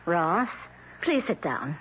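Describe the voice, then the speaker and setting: An actress playing a restless, independent-minded wife in an old-time radio drama, earnest and a little hesitant. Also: female voice, fairly low pitch, big pitch swings, slow, a bit bright, a little hiss or hum, full character voice. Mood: politely defiant. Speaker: actor. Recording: dull old radio broadcast.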